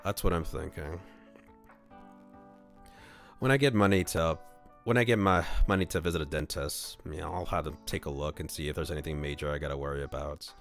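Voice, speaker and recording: A low-voiced man hosts a casual livestream, drifting between loose, halting chat and reading aloud from a visual novel. Soft music plays behind him.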